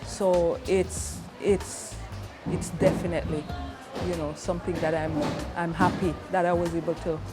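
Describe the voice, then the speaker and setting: A woman's voice: fairly low pitch, level tone, unhurried. A woman in a testimonial interview, speaking earnestly and steadily about joining a trades program, with an occasional "um". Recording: music playing underneath.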